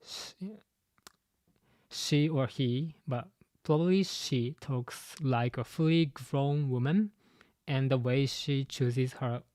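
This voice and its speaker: A man with a Japanese accent, a native Japanese speaker, hosting a live-stream commentary and talking haltingly with many fillers and restarts. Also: mid-range voice, a little mellow, no echo. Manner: halting, full of "you know" fillers and restarts. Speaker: channel host and translator.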